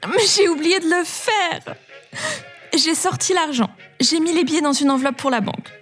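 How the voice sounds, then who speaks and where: A flustered young shop girl, speaks in a high, halting, emotional voice. It is radio drama acting, with faint music behind. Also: a bit bright. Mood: panicky. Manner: halting, panicky. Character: flustered, grateful young female shop clerk.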